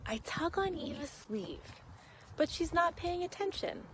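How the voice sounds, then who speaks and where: A woman reading a children's story aloud with clear noise and clicks behind her. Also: very high voice, animated, a little slow.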